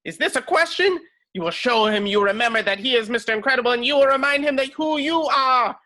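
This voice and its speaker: A woman's voice: on the high side, a little fast, bright, forceful tone. A woman playing an imperious, theatrical older fashion designer in an amateur table read. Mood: bossy, rousing.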